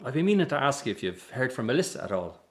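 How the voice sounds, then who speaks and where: An actor playing a weary, patient but strained Irish circus manager in a radio drama. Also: male voice, medium pitch, big pitch swings, quick pace, husky. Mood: weary, conciliatory. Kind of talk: radio drama acting with narration.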